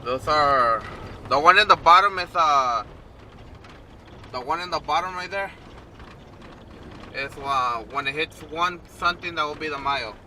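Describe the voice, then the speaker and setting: A man with a very bright, forward voice that sits a little high chats through a casual vlog. His delivery rambles and halts as he thinks aloud. There is clear noise behind him. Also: a little slow, pauses often. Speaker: vlogger reviewing gear. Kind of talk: casual unscripted vlog talk. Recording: clear background noise, vehicle sounds.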